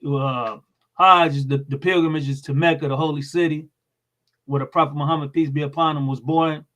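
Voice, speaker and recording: A man's voice: fairly high pitch, fairly even intonation, a little fast, a bit bright. An American man speaking as a guest in an interview, explaining things in a plain-spoken, informal way. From United States.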